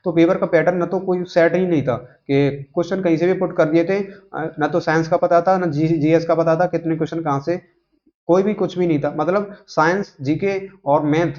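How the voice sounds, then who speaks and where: Higher-voiced man, dull lo-fi sound. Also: darkish tone. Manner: repetitive check-in tags, rambling. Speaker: YouTube host giving job exam updates. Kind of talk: informal explainer, speaking off the cuff to viewers.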